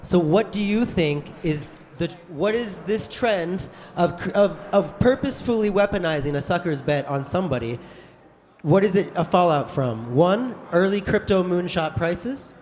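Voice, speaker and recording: A man moderating a conference panel debate, brisk and joking in an informal way. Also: sits high, animated, a little mellow. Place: large conference hall. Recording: echoey conference hall.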